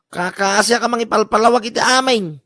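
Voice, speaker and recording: A bright, sharp voice from an actor plays an exasperated worker in an Ilocano comedy drama, grumbling and exclaiming about nosy neighbours. The sound is close and dry. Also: mid-range voice, a little fast, dry recording, natural voice.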